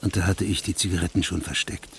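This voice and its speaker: An actor playing a stern, methodical investigating judge in a radio drama, measured, probing and authoritative. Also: male voice, deep voice, fairly even intonation, gravelly, a little hiss or hum. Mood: accusing, stern.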